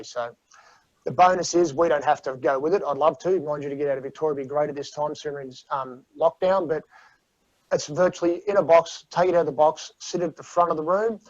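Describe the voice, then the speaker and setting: A man's voice: mid-range voice, no echo. A man presenting a webinar to teachers in a casual, chatty way over a video call. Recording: dull dry video call audio.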